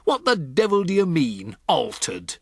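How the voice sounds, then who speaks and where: A man voicing a blustering, hot-tempered gentleman who shouts in outrage. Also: a little high, wildly swooping pitch, measured pace, vocal fry, no echo, studio quality, pitched above the performer's own voice, heavily acted. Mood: indignant. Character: blustering mustached man, hotel guest, angry.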